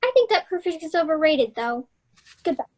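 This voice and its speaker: An actress playing a dreamy, earnest young fairy-tale heroine in a children's stage reading. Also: female voice, high voice, very animated, a little fast, a little mellow. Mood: bored, earnest.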